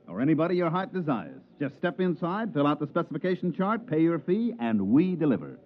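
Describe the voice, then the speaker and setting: An actor playing a fast-talking male swindler and promoter in a 1950s radio drama, who also narrates the story with slick salesman patter. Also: a little high, sing-song. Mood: brash. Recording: dull vintage radio recording.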